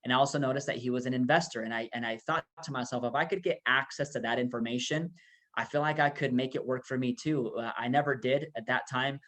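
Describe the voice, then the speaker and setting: A man from Peru gives a relaxed sales presentation at a middle pitch for a man. He talks casually, with lots of "uh" and "you know". He tells stories as he builds toward the pitch. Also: fast. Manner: casual, many fillers, motivational storytelling. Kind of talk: business opportunity presentation.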